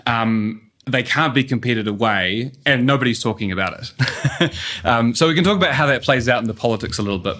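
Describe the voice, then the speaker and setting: A man's voice: lowish voice, a bit bright. A man with a New Zealand accent, a guest urban economist, giving thoughtful answers full of ums and uhs. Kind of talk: casual interview answers.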